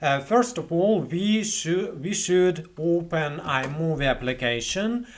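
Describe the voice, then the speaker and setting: A young man with a Russian accent, a non-native English speaker, talking through a software tutorial with lots of fillers and restarts. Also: on the high side, lively intonation, slow. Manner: hesitant, many fillers and restarts. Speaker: tutorial host. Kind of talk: screen-recorded software tutorial. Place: small indoor room at a computer.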